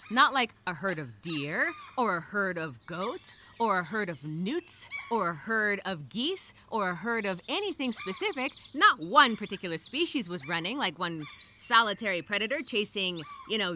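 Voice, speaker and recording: A woman's voice: mid-range voice, sing-song, moderate pace, hardly any pauses, bright, forceful tone. A woman playing a frightened, exasperated castaway, talking through a journal entry in a theatrical, emphatic, rambling dramatic monologue. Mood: alarmed, frantic. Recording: clear background noise with birdsong.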